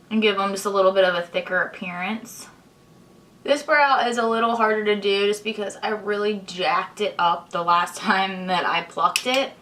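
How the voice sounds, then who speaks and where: A young woman, hoarse and congested, chatting casually while she explains each step of her makeup tutorial. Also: medium pitch, pushed and bright, a little hiss or hum. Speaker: beauty vlogger. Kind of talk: chatty get-ready-with-me tutorial.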